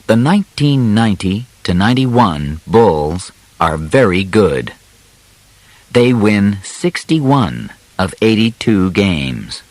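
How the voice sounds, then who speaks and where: Male audiobook narrator, unhurried and deliberate, phrase by phrase, very dry close sound. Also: on the low side, very animated, no echo, low-quality recording. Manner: deliberate, clearly separated short phrases. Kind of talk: scripted narration, graded-reader storytelling.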